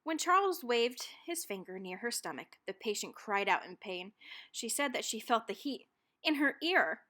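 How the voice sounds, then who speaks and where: Female read-aloud narrator for children's books: bright, forward tone, slightly high pitch, expressive and deliberately dramatic phrasing.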